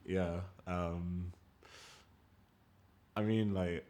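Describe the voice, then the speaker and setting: A young man speaking in a halting, casual way in an interview, often pausing with "like" and "um". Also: sits low, steady tone, mellow. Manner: halting, casual, filler-heavy. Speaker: documentary interviewee. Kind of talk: candid interview.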